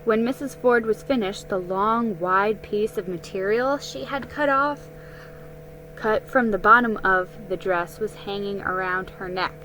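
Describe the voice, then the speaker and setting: A woman giving a dramatic reading of a children's story, careful and a little halting. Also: mid-range voice, a bit bright, slight background noise, poor audio. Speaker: storybook reader.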